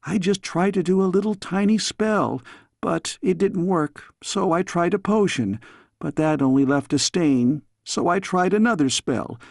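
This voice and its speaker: A man's voice: sits high, a little slow, creaky, no echo, clean studio sound, voice pushed up. A man voicing a dramatic, petulant girl princess who plays at being an evil witch, in a storybook reading. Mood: pleading, flustered. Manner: crying out spells, muttering crossly.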